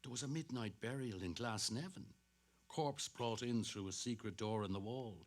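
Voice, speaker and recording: A man's voice: fairly low pitch, moderate pace, close to an everyday voice. An actor playing a vain, dapper middle-aged salesman who chatters to himself in a dramatic stage reading. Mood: musing. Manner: self-satisfied, muttering to himself between hearty greetings.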